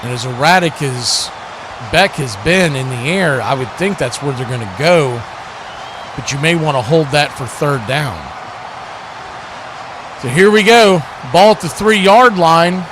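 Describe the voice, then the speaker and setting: A man's voice: fairly high pitch, expressive, a little slow, pauses often, noisy background. A man doing live play-by-play and casual analysis of a football game in a conversational way, sometimes correcting himself. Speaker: play-by-play commentator hosting a watch party stream.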